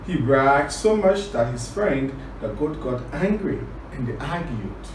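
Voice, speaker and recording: A man from Liberia telling a children's story and teaching words, deliberate, repeating phrases for emphasis. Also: medium pitch, very animated. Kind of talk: children's storytelling and word lesson. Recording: roomy, distant, clearly noisy recording.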